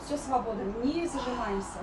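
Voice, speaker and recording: Female vocal coach, higher voice, explanatory and demonstrative, distant in an echoey room. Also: expressive, moderate pace, heavy background noise. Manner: explanatory, demonstrating vowels and sung warm-ups. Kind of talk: informal spoken singing lesson with explanations. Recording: roomy echo, distant mic, music behind.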